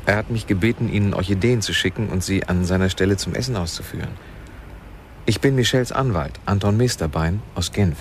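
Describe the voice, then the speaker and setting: An actor playing a polite, insistent young lawyer in a radio drama, speaking formally and courteously. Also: male voice, low voice, brisk. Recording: noisy outdoor street ambience with vehicles.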